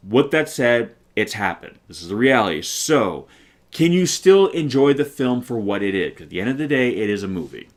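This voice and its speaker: A man co-hosting a trailer reaction video, talking at length in an earnest, opinionated way and asking rhetorical questions. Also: mid-range voice. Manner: earnest, long-winded, asks rhetorical questions. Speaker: co-host of a movie trailer reaction channel. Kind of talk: casual opinionated movie talk.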